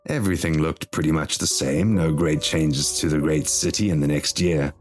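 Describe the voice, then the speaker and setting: A man's voice: extremely low pitch, fairly even intonation, moderate pace, faint music behind. A man reading a scripted horror story as its narrator.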